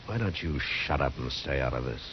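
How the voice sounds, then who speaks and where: An actor playing a weary, stern Western marshal in a 1950s radio drama, speaking in a terse, grave, measured way. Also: male voice, extremely low pitch, animated, a little hiss or hum. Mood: stern, steady. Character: weary, stern frontier town marshal, grown man. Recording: dull lo-fi 1950s radio recording.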